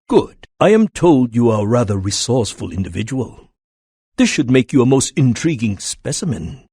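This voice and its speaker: Coldly precise, lively-pitched villain scientist. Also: male voice, slightly rough, dry recording, clean studio sound, exaggerated voice. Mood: condescending, menacing. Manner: formal, clinical, precise, condescending. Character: cold, polite, menacing male crime-syndicate scientist experimenting on captives. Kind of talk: video game villain voice acting.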